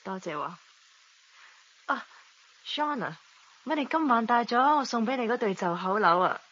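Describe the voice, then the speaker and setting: A woman's voice: a little high, animated, moderate pace, a bit bright, highs cut off. An actress playing a poised, possessive career woman, sugary and subtly condescending, in a Hong Kong Cantonese radio drama. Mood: sweetly showing off.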